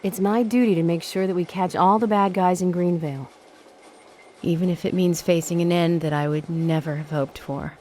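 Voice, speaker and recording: An actress with an American accent playing a proud, dutiful small-town deputy sheriff in a video game, earnest and emphatic. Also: female voice, fairly low pitch, steady tone, normal speed, mellow, slight background noise, natural voice. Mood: resolute. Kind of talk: dramatic game cutscene acting.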